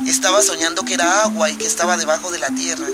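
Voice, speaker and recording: A woman's voice: fairly low pitch, fairly even intonation, fast, close to an everyday voice. A woman acting a dreamy, romantic, lyrical character in a radio drama. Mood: bewildered, tender. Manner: poetic, dreamy. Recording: echoey long reverb, faint music.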